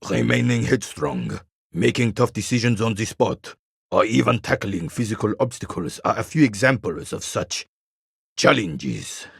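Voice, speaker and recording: An actor playing a bitter, proud royalist war veteran in his eighties in a video game, with a rasping, weathered voice and a haughty, formal military way of talking. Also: lowish voice, normal speed, a bit bright, no room sound, studio quality, exaggerated voice. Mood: pompous, condescending. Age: 80s. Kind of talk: video game character dialogue.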